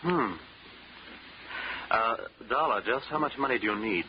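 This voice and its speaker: An actor playing a shifty, debt-ridden racetrack gambler in a 1940s radio drama. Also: male voice, mid-range voice, measured pace, natural voice. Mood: interested, evasive. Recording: dull, noisy 1940s radio recording.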